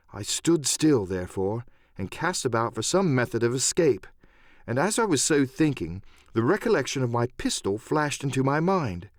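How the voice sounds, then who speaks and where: Male storyteller for adventure audiobook: mid-pitched, highly animated, measured dramatic phrasing. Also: no echo, studio quality. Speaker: audiobook narrator. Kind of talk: dramatic scripted narration of an adventure novel.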